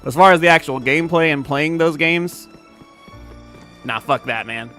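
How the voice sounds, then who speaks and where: A young man talks loosely to his stream viewers in a bright, forceful voice, swearing casually and trailing off into fillers and restarts. Faint music plays underneath him. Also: fairly high pitch, moderate pace. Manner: laid-back and profane, with frequent fillers and laughs. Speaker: game streamer.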